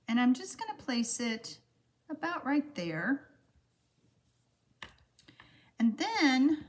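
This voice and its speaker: Woman host, middle-aged, slightly froggy voice, bright lively pitch, chatty craft demo. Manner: chatty, talks to viewers while working. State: froggy voice from allergies. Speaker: card-making demonstrator hosting a livestream. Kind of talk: casual livestream craft demonstration.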